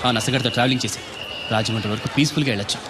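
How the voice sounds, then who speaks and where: An actor playing an irritable, weary urban traveller in a film comedy, grumbling curtly with English words mixed in. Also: male voice, mid-range voice, rapid-fire, lightly acted. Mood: irritated. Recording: loud music and traffic in soundtrack.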